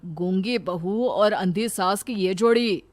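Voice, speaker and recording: A woman as a measured older father-in-law. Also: medium pitch, lightly acted. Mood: lecturing. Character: older father-in-law, scheming patiently to teach a lesson. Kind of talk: cartoon moral story dialogue. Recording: music bed behind voice.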